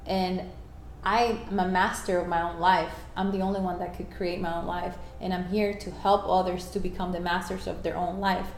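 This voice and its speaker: A woman's voice: fairly low pitch, little pitch movement, a little fast. Woman, spanish first language, earnest motivational interview answers, echoey room, bit of hiss. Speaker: interview guest. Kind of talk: reflective interview talk on personal growth. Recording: echoey room with faint noise.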